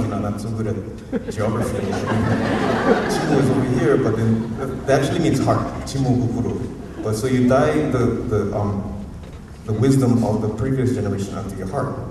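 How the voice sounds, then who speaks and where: A university professor from Hawaii with a Hawaiian accent, giving a rambling, informal, unscripted talk at a community forum and often chuckling. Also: male voice, fairly low pitch, normal speed, hardly any pauses. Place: museum auditorium. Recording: echoey hall, distant mic, faint noise.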